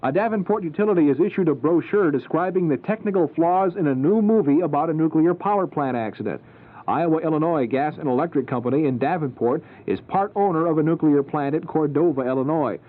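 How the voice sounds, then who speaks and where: Male radio news anchor, slightly high voice, scripted bulletin delivery, narrow old AM sound. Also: fairly quick. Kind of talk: scripted news and weather reading. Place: radio studio. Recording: band-limited vintage 1970s AM radio recording.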